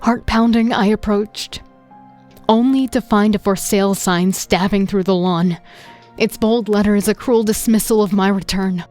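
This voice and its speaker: A woman giving steady first-person narration for an audio drama. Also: mid-range voice, a little slow, faint music behind. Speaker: narrator and lead voice actor.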